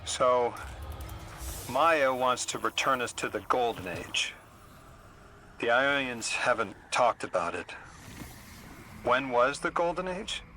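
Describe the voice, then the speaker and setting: A man's voice: mid-range voice, animated, slow, long pauses, pushed and bright, slight background noise. An actor playing an earnest, emotionally open man displaced from his own time in a video game cutscene. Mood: wry, reflective.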